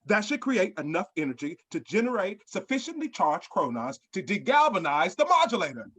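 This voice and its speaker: An actor playing a grandiose, dramatic scientist in a sci-fi screenplay reading. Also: male voice, high voice, big pitch swings, a little fast, fairly bright tone. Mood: urgent, resolute. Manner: grandiose, dramatic, jargon-heavy. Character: Professor Bold, grandiose scheming sci-fi scientist.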